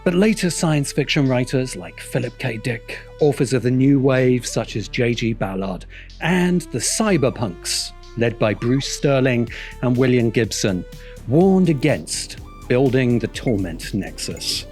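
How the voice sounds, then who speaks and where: A man's voice: medium pitch, lively intonation, measured pace, a little mellow. A man reading a scripted video essay narration in a sardonic, opinionated manner. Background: music behind the voice.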